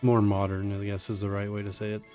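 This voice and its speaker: A man's voice: lowish voice, level tone, fast talker, darkish tone. A man chatting casually and jokingly, with some self-deprecation, as he plays a game on a live stream, with game music behind him. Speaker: speedrunner playing live.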